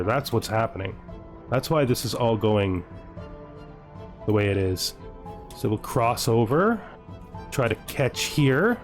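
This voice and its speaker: Male gamer, average pitch, slightly slow delivery, casual think-aloud playthrough commentary with faint music underneath. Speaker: gamer narrating his own playthrough.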